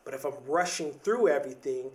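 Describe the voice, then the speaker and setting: A man's voice: medium pitch, brisk, a little mellow, far from the mic. A man in his thirties talking in a reflective, casual podcast monologue, often repeating and restarting words, with casual slang. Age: 30s.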